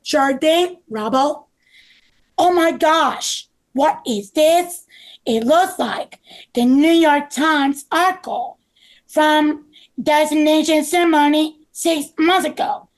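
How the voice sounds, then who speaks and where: A woman's voice: high-pitched, slow pace, poor audio. A woman playing an earnest, encouraging male builder in charge, in a table read of a children's story. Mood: concerned.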